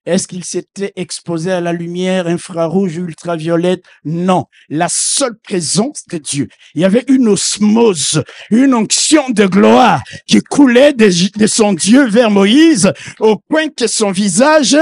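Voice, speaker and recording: A middle-aged bishop preaches in a sermon, speaking a language that is not his mother tongue. He delivers it slowly and deliberately, dropping into quiet asides before rising to loud, fervent proclamations punctuated by hallelujahs. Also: lively intonation, creaky. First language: not French. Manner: swings from low asides to loud shouted proclamations.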